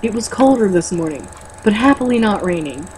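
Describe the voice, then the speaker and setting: A woman's voice: medium pitch, animated, a little fast, a little mellow, low-quality recording. Sarcastic, sweary woman over background noise. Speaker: co-host reading a novel aloud with mocking commentary. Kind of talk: read-aloud mixed with casual banter.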